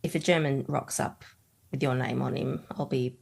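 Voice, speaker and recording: A woman's voice: rumbling low, fairly even intonation, normal speed, vocal fry, darkish tone, breathy edge. A woman co-hosting a podcast, chatting casually and laughing often as she interviews. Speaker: podcast co-host. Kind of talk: casual chat.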